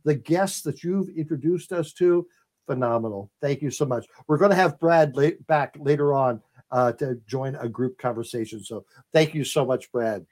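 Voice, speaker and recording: A man's voice: medium pitch, moderate pace, a little mellow. A man interviewing a guest conversationally, with a polite, appreciative manner. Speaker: interview host.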